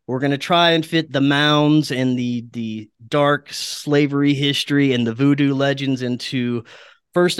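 A man's voice: medium pitch, clean tone, no echo, clean studio sound. An American man from Louisiana talking casually as a podcast guest about his work, with enthusiasm and laid-back, slangy phrasing. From Louisiana, United States. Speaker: podcast guest, documentary maker.

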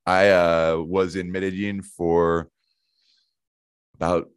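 Deep-voiced podcast host, dry mic. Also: male voice, takes it slowly, clean tone, no echo. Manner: relaxed, enthusiastic, frequent restarts. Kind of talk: casual interview chat.

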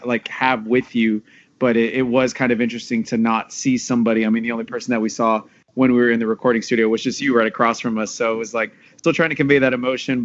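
A man with a medium-pitched voice talks casually as an interview guest. He is an actor discussing the work. The call audio is muffled and lo-fi.

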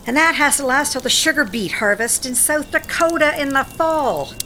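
A woman's voice: high voice, expressive, normal speed, pushed and bright, slight background noise. An actress playing a proud, weary older American working woman in an audio drama, defensive and sardonic, with blunt folksy talk. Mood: resigned, uneasy.

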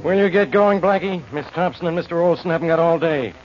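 An actor playing an impatient, skeptical police inspector in a 1940s radio crime drama, brusque and exclaiming. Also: male voice, on the high side, quick pace, lightly acted. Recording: muffled, noisy old radio recording.

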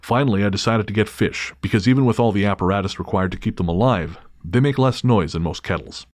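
A man's voice: on the low side, fast talker, no room sound. A man hosting a podcast, chatting casually and with dry humor, sometimes reading a listener's story aloud. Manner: wry, joking, hesitant restarts. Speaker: podcast host.

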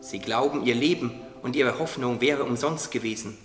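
A man voicing a wise, gently teasing caterpillar in a radio play, explaining things earnestly. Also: medium pitch, normal speed, lightly acted. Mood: hopeful, insistent. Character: wise, teasing caterpillar confidant, calmly preaching hope. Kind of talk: German religious audio drama acting. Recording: echoey reverberant hall, music underneath.

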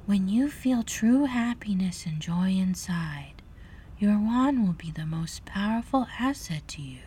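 A man voicing gentle little fairy sisters in a high, breathy whisper. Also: animated, measured pace, a little hiss or hum.

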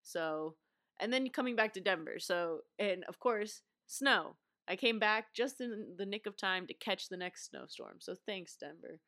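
A woman's voice: medium pitch, expressive, unhurried, fairly bright tone, no echo, clean studio sound. A young adult woman with a stuffed-up, congested voice, chatting casually as a basketball podcast host, with lots of "like" and laughs. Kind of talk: casual chat.

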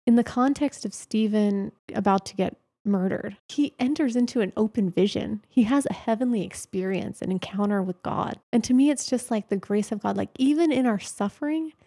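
A woman's voice: mid-range voice, normal speed, hardly any pauses, dark tone. A woman earnestly explaining Bible passages in a casual faith conversation. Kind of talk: casual faith podcast chat.

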